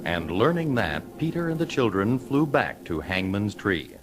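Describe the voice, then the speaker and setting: A man's voice: lowish voice, very animated, normal speed, loud music behind the voice. A man narrating a children's adventure story in a dramatic scripted style.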